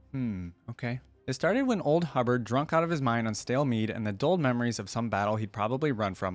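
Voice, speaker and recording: A man hosting a reaction video, talking casually and reading a story aloud. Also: lowish voice.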